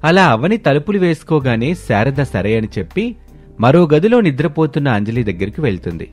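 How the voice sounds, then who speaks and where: A man narrates a scripted moral tale. His pitch moves in a lively way from line to line, over a clear music bed. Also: moderate pace.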